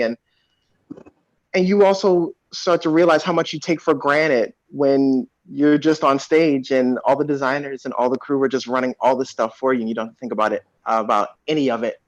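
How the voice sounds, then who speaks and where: A man who works as an actor, talking reflectively in a panel chat. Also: medium pitch. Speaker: actor in an audio play cast. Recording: muffled, roomy video call audio.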